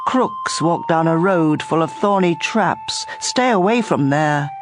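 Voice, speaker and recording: A narrator reading scripture in a measured style for a dramatized audio Bible. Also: medium pitch, lively intonation, background noise. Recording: music bed under voice. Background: instrumental music.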